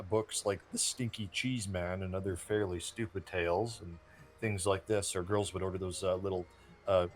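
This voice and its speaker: Male gaming co-host, 30, deeper voice, explanatory storyteller, casual commentary style. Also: a little mellow. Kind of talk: casual gameplay commentary and reminiscing.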